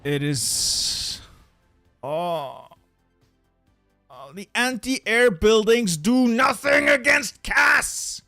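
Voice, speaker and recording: A man's voice: very high-pitched, lively intonation, very slow, bright, forceful tone, no room sound, studio quality, faint music behind. A young Dutch man narrating his strategy game play, muttering and swearing in frustration as things go wrong. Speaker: gaming YouTuber.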